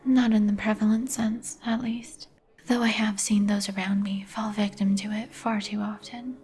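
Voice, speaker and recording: A woman playing a melancholy, courteous vampire in a breathy whisper, with formal, old-fashioned phrasing for an ASMR roleplay. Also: mid-range voice, little pitch movement, moderate pace, darkish tone, natural voice. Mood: gloomy, cautioning. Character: melancholy, courteous vampire woman, turned young some 150 years ago. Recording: music behind the voice. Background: music.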